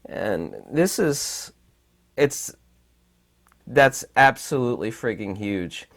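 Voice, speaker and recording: A man with a raspy, hoarse voice while recovering from illness, giving rambling, emphatic commentary with many fillers. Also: mid-range voice, lively intonation, takes it slowly, fairly bright tone. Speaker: channel host. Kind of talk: casual commentary to camera.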